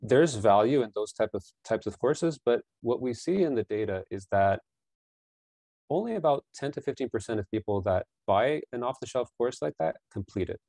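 A man's voice: mid-range voice, expressive, normal speed, warm tone, no room sound. A man in his forties, a business founder answering interview questions earnestly from a small bedroom over a video call, often repeating words as he thinks. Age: 40s. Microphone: headset over ears, video call.

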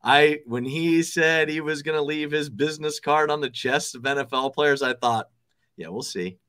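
A confident man in his thirties breaks down the game as a guest over a video call from an office. His voice sits a little higher than usual, and he talks emphatically, repeating words to drive a point home. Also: moderate pace, fairly bright tone. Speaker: TV sports director, guest. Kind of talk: casual sports analysis chat. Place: office with desks, indoors.

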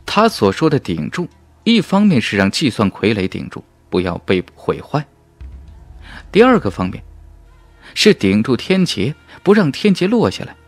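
A man's voice: mid-range voice, huge pitch swings, normal speed, dry recording, faint music behind. A man reading a fantasy novel as an audiobook narrator in an emphatic, suspenseful, dramatic style.

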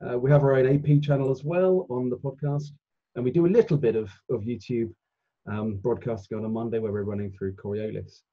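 A man's voice: mid-range voice, fairly even intonation, fairly quick, phone-quality sound. A middle-aged man chatting casually as a guest podcaster over a video call. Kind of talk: casual chat.

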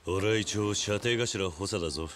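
An actor playing a stoic, plainspoken yakuza lieutenant in a video game cutscene, terse, gruff and a little awkward. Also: male voice, lowish voice, normal speed, fairly bright tone, soft background music, close to an everyday voice. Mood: reluctant, gruff. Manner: terse, gruff, hesitant replies.

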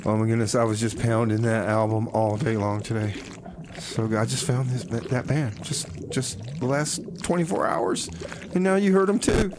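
A man's voice: mid-range voice, normal speed. A man hosting a radio music show with casual, slangy banter, often repeating his phrases. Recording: clear background noise.

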